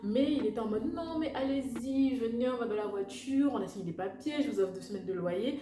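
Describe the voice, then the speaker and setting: A woman's voice: fairly high pitch, brisk. A young woman from French Guiana casually telling a personal story to her viewers in a slangy, chatty way full of fillers and asides. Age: young adult. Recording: echoey room with loud music behind.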